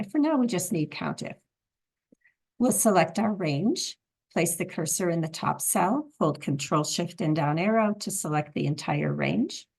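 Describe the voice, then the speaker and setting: A woman instructor with a froggy, hoarse voice, calmly explaining a software tutorial step by step. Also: lowish voice. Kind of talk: screen-recorded software tutorial.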